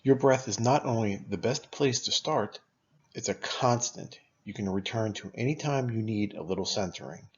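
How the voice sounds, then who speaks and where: A man leading a guided meditation as a teacher, speaking in a calm, unhurried, instructional way with long pauses. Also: fairly low pitch, breathy edge, highs cut off.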